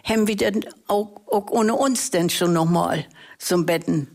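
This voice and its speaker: Slow-speaking older woman. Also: on the low side, darkish tone. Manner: slow, reminiscing. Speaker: talk show guest. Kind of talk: casual chat, recollecting.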